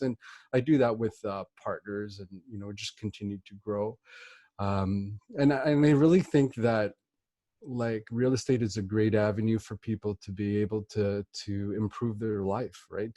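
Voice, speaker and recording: A middle-aged Canadian man giving an informal, somewhat rambling account of himself as an interview guest, in a halting delivery. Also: lowish voice, mellow, dry recording. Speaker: interview guest, real estate investor and coach. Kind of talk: informal spoken self-introduction in an interview.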